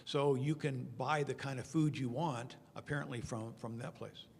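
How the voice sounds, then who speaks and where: A man's voice: medium pitch, moderate pace, vocal fry, a little hiss or hum. A man speaking informally on a conference panel, explaining with anecdotes and examples in a reverberant hall. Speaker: panel speaker answering audience questions. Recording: long hall reverb over conference sound system.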